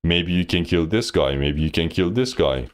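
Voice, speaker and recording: Low-voiced guy coaching on stream, blunt and direct, lots of "like". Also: expressive, normal speed. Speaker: game coach. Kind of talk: live-stream gameplay review.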